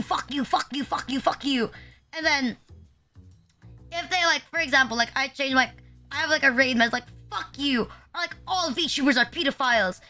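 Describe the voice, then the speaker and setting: A woman's voice: high voice, sharp tone. A young woman from the Philippines chatting casually on a livestream, rambling with constant fillers and frequent swearing. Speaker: VTuber streamer.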